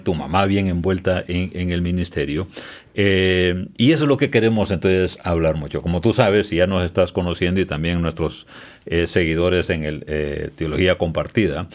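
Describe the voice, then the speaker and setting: A man's voice: sits low, steady tone, moderate pace. A man hosting a podcast interview, speaking politely and hesitantly, with frequent filler sounds. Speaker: podcast host.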